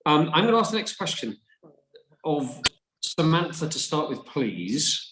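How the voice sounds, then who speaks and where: A man's voice: on the high side, big pitch swings, measured pace. A man co-hosting a webinar, moderating the panel and putting questions to guests, over echoey and slightly noisy audio. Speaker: webinar co-host.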